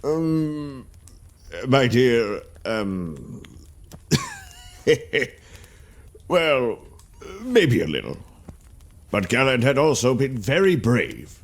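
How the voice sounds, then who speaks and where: A man playing a kindly older storyteller, narrating a video game tale in an expressive, gentle way. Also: mid-range voice, drawn-out words, long pauses, heavily acted. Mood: gentle, reassuring. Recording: long reverb, faint music behind.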